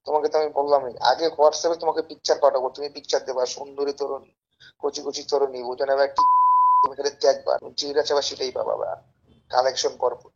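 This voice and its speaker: Dull lo-fi call audio, gravelly Bangladeshi man, rapid speech, pushy, persuasive, repetitive sales talk. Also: mid-range voice, flat delivery. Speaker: caller pitching a service as a tout. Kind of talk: informal phone sales pitch.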